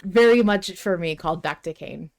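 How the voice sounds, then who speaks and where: A woman co-hosting a podcast in casual fan discussion, speaking emphatically and quick to laugh. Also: fairly low pitch. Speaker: podcast co-host.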